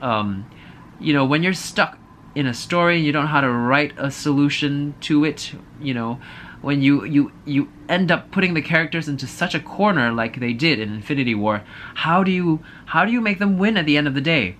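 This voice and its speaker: A man's voice: a little high, expressive, moderate pace, fairly bright tone, slight background noise. A man giving a casual, opinionated movie review, thinking aloud in a hesitant, apologetic way.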